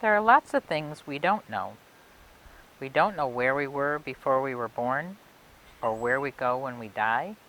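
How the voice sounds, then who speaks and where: Low-voiced woman reading a picture book, lively pitch. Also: measured pace, poor audio. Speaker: library storytime reader. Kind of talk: expressive children's picture book read-aloud. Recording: clear background noise and hiss.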